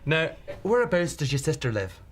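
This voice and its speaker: A man's voice: a little high, big pitch swings, moderate pace, a little hiss or hum. A man playing a polite, patient travel agent with salesman patter.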